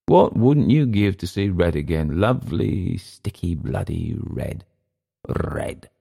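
A man voicing a bitter, dissolute Englishman with an English accent, sneering and halting by turns. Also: low-pitched, big pitch swings, vocal fry, warm tone, slightly rough, full character voice. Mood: mocking.

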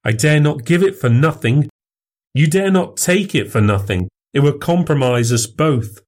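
A smooth, cynical tempter speaks in a raised, lively voice, coaxing and mocking, as a male reader puts him on. He sounds worldly and sure of himself, pressing his point. The voice sits well above the reader's own. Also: a little high, moderate pace, voice pushed up.

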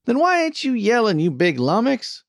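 A man's voice: extremely high pitch, wildly swooping pitch, moderate pace, no room sound, clean studio sound, pitched above the performer's own voice, full character voice. A man voicing a brash, foul-mouthed frontier woman who bosses a wagon train with a bossy drawl in a Western story. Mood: gruff encouragement. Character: brash, foul-mouthed cowgirl wagon boss, hot-tempered but soft-hearted.

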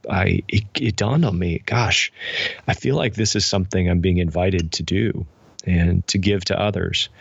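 An American man speaking as an interview guest, explaining things in a thoughtful, measured, conversational way. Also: on the low side, vocal fry, no room sound, highs cut off. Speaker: podcast guest, spiritual director and pastor.

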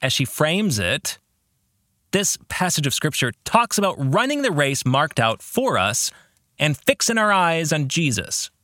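A man hosting a reflective solo podcast, speaking in deliberate, emphatic phrases. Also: a little high, big pitch swings, sharp tone, dry recording, studio quality. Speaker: podcast host and author.

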